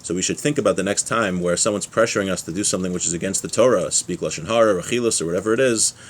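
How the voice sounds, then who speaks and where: Male religious teacher, lowish pitch, reads text aloud and explains it plainly. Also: rapid-fire. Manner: explains plainly in everyday examples.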